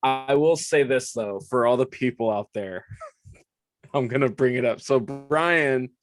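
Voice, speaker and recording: A man's voice: medium pitch, unhurried, music in the background. A young man co-hosting a casual sports podcast, chatty and laughing often as he talks. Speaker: podcast co-host.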